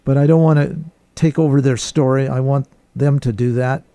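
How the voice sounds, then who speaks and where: A man hosting a radio interview, welcoming listeners and guests in a formal, deliberate way. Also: mid-range voice, steady tone, mellow, no room sound.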